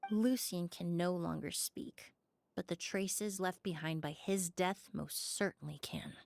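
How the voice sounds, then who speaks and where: A woman voicing a calm, knowledgeable young investigator in a video game, speaking in a measured, analytical way. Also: fairly low pitch, gravelly, a little hiss or hum. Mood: composed.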